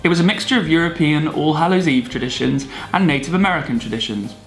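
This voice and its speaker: A man's voice: a little high, fast, soft background music, poor audio. A man co-presenting a scripted explainer with comic banter, in a jokey, theatrical manner. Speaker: video co-presenter.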